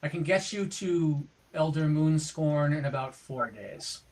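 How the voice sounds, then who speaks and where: A man's voice: fairly high pitch, unhurried, a little mellow, lightly acted, poor audio. A man playing a practical, laconic guide from the plains in a tabletop game, plain-spoken and tentative.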